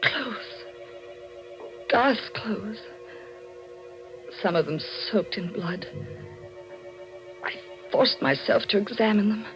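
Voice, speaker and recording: A woman's voice: mid-range voice, animated, slow, pauses often. An actress playing an anxious but determined wife who suspects her husband, earnest and dramatic in a 1940s radio mystery. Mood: fearful, suspenseful. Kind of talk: 1940s radio mystery acting, first-person dramatic narration. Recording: muffled old radio recording with music.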